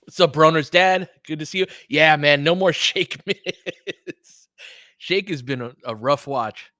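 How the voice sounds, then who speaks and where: Casting: American male sports streamer, bright forceful voice, close dry sound, informal play-by-play mixed with chat. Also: a little high, unhurried, dry recording. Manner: conversational, reacting to chat comments. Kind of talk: casual live sports commentary and chat.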